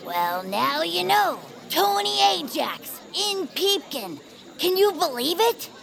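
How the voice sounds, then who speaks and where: A woman voicing an excitable, dreamy young hero-worshipper in a family audio drama, with an eager, dramatic manner. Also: very high voice, slow, gravelly, a faint crowd, full character voice. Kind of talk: acted audio fiction for families.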